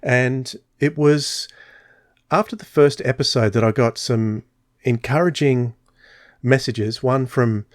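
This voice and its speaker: A man's voice: medium pitch, steady tone, takes it slowly, no room sound, studio quality. A man hosting a podcast, chatting casually and telling stories with many fillers. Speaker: podcast host.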